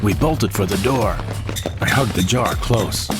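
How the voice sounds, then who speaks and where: A man voicing a young sidekick who narrates a space adventure with a lively, expressive delivery. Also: on the low side, measured pace, over loud music, natural voice. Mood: narrating. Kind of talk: first-person audio drama narration. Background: dramatic score.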